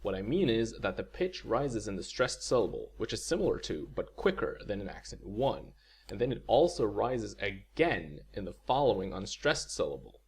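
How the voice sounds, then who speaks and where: Guy teaching a language lesson, kinda husky, lowish voice, calm clear explaining. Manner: clear, measured explanation with spoken example words. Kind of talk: scripted video lecture.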